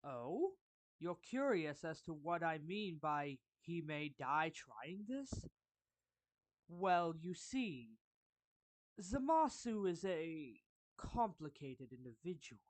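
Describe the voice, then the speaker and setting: Casting: performer as a suave anime villain turned protective friend, formal and deliberate, slow delivery, mid pitch, dry close recording. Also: sing-song, no echo, poor audio. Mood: explanatory, grave. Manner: formal, measured, deliberate, pausing mid-phrase. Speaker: character voice actor. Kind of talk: anime fan roleplay monologue addressed to the listener.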